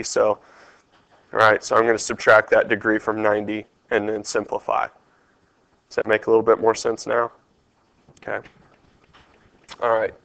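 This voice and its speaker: A man's voice: medium pitch, vocal fry, a bit bright, smooth, dry recording. Congested man teaching a math lesson. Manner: talks through steps, quizzing the class. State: stuffed up, congested. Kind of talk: test review lesson over screen capture.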